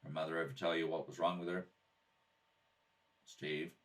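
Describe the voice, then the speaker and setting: A man playing a calm, patient police detective in an old-style radio drama, matter-of-fact and deadpan. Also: very deep voice, moderate pace, pitched below the performer's own voice. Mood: calm, probing. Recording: distant mic in a home kitchen.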